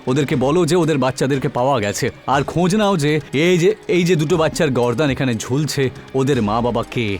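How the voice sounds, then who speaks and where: A man's voice: mid-range voice, fairly quick, soft background music, lightly acted. A man playing a determined, serious police inspector in a cartoon horror story, speaking in a commanding, insistent way. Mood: commanding, resolute.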